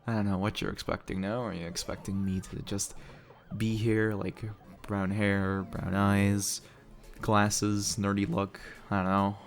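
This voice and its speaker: Man acting a low, gravelly, flirty arcade nerd. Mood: playful, teasing. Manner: casual, teasing, chuckles often, slightly bashful. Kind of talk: intimate audio roleplay, one-sided casual dialogue. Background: music.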